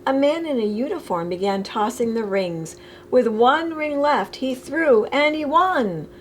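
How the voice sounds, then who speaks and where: A woman's voice: high voice, lively intonation, unhurried. Woman reading a picture book, noisy recording. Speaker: storytime reader. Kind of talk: expressive children's picture book read-aloud.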